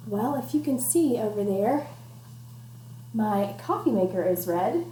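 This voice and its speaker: A woman's voice: mid-range voice, animated, a little slow, warm tone. A woman teacher doing an interactive picture-book read-aloud for children at home, encouraging them with questions and instructions. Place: at home, indoors. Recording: echoey room with faint background noise.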